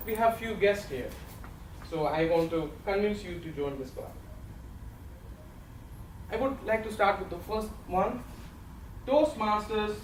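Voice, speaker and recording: High-voiced Indian man speaking from afar. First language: not English; English is his third language. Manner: storytelling with comic timing, animated gestures. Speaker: club member giving a farewell speech. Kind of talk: humorous public speech. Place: large office meeting room with windows and a projection screen. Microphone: far camera, no mic. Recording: echoey room from far off, audience noise.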